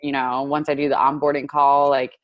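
A young woman from Indiana with a notably low voice talks in an easy, chatty way. She fills her sentences with filler words and laughs often. The sound is dry and close, with no room echo. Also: flat delivery, brisk, lo-fi sound. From United States, Indiana. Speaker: guest, digital marketer. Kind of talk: casual interview chat.

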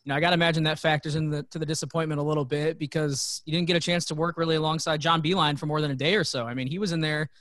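A man's voice: on the high side, steady tone, rapid-fire, hardly any pauses, fairly bright tone, no room sound. Dry-sounding casual male host. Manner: casual, easy questioning with fillers. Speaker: podcast host. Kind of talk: casual interview chat.

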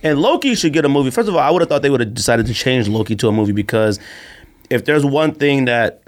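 A man's voice: medium pitch, animated, fast. An American man hosting a casual podcast, talking in blunt, informal slang and acting out imagined quotes as he gives his opinions. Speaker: podcast host.

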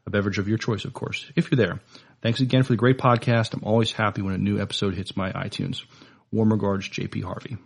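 A man with a gravelly, husky voice co-hosts a casual podcast. His delivery is measured and a little halting. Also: lowish voice, steady tone. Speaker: podcast co-host.

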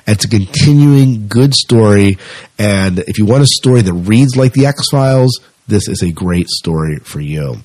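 A man's voice: lowish voice, lively intonation, unhurried, a little mellow, no room sound. A middle-aged man chatting casually as the host of a podcast.

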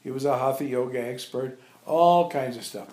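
Animated male storyteller in an echoey room. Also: mid-range voice, normal speed. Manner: animated anecdotes, acting out remembered dialogue. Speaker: abbot and spiritual teacher. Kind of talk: informal reminiscing to visitors. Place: echoey indoor room at an ashram. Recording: roomy, echoey sound.

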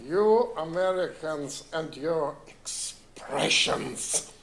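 Slow, stern in echoey room. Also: male voice, a little high, expressive, creaky, fairly bright tone, a little hiss or hum, exaggerated voice. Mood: irritated, stiff. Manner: slow, formal, clipped. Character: stern, pompous German businessman, easily offended.

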